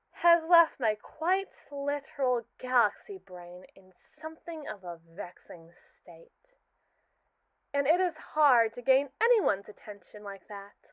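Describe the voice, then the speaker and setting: A condescending, scheming robot AI, voiced by a young woman talks in a wordy, arch and mocking way, leaning on slow, drawn-out words. The voice sits a little high and sounds smooth. It comes through dry and tinny, like a phone line. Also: no room sound. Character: haughty, scheming captive robot AI, sardonic and condescending. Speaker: ASMR roleplay performer. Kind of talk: scripted ASMR roleplay monologue.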